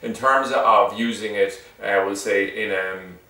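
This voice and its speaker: In a very echoey room, some way from the microphone, an Irish man talks through a product in an informal, unscripted way. His voice is low and he speaks quickly, wandering a little with hesitant filler phrases. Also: fairly even intonation, sharp tone, distant mic. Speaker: shop seller presenting product reviews.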